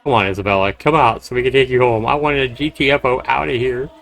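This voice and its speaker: A man livestreaming a horror game, reading dramatically and commenting casually, with loud game sound behind his voice. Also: medium pitch, fast, creaky. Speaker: game streamer. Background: horror game audio.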